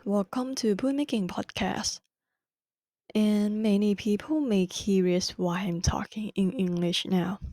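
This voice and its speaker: A woman's voice: medium pitch, dark tone, dry recording, studio quality. A young woman with a Thai accent hosting a solo podcast, explaining a book carefully in non-native English and correcting herself as she goes. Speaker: podcast host.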